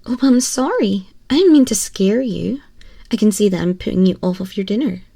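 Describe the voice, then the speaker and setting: A woman's voice: medium pitch, lively intonation, mellow, no echo, clean studio sound. A woman voicing a haughty, teasing, domineering young empress in an ASMR roleplay, condescending and often dropping toward a whisper. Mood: mock-soothing. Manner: condescending, slow, breathy purring with whispers. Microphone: close binaural microphone.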